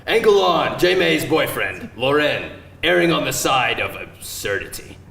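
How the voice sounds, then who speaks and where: A man's voice: mid-range voice, big pitch swings, a little slow, vocal fry, fairly bright tone. A man reading screenplay stage directions aloud as narrator at a live table read. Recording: roomy live event, distant mic, faint noise.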